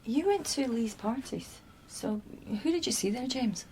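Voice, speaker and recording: Quick-witted, teasing Scottish female detective, radio drama, light noise behind. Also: on the high side, lively intonation, a little mellow. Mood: probing.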